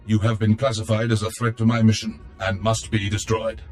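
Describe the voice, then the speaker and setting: A man voicing an emotionless, hostile combat droid in a video game, speaking in a mechanical monotone. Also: fairly low pitch, fairly quick, soft background music, lightly acted. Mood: cold, mechanical.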